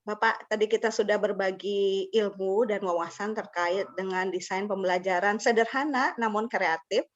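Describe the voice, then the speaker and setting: A woman's voice: mid-range voice, moderate pace, few pauses. Dull-sounding woman hosting online. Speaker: webinar host. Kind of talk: informal webinar hosting. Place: online video call. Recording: dull lo-fi online call audio.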